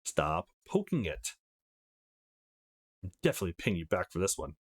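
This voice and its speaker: Male reader as eighteen-year-old visual novel lead, earnest, self-conscious, highly varied intonation. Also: big pitch swings, moderate pace. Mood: flustered. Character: flustered eighteen-year-old young man, earnest and easily embarrassed. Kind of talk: visual novel character reading.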